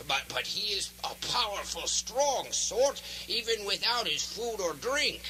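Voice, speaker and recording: A man's voice: high voice, very animated, measured pace, bright, forceful tone, slight background noise, heavily acted, low-quality recording. An actor playing a servile, muttering jailer henchman with colloquial speech in a radio drama. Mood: grumbling, obedient.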